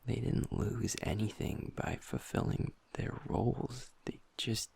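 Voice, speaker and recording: Man playing a sweet young werewolf boyfriend for an audio roleplay, low gravelly voice, slow and soft, half-whispered with halting pauses. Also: expressive, exaggerated voice. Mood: vulnerable, confiding. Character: gentle young male werewolf boyfriend, usually upbeat, now downcast.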